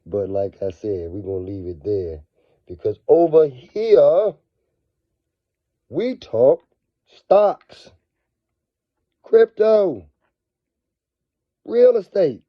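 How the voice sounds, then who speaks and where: A man with a scratchy, raspy voice from a cold talks casually to his viewers about money. He drags his words out slowly, dropping to low murmurs and then rising in excited bursts. The sound is dry and close but somewhat dull, like a lo-fi home recording. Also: dry recording. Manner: slow, drawn-out delivery that swings between low murmurs and excited bursts. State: hoarse from a cold. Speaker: finance vlogger. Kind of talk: casual video monologue.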